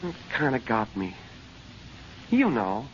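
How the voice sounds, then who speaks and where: An actor playing an earnest, sensitive young son in an old-time radio drama. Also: male voice, mid-range voice, wildly swooping pitch, slow pace. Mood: wistful. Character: earnest, sensitive young son worried about his father. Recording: muffled old radio recording, faint noise.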